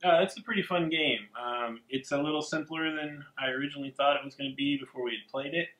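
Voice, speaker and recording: A man's voice: a little high, fast, fairly bright tone, roomy sound, poor audio. A man co-hosting a board game review, explaining the rules steadily with frequent ums. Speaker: podcast co-host.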